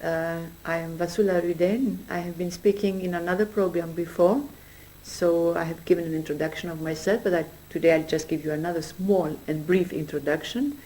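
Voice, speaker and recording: Mellow-voiced woman giving earnest testimony. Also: fairly low pitch, fairly even intonation, poor audio. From Greece. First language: Greek. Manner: earnest, measured, searching for words. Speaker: religious speaker. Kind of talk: personal spiritual testimony.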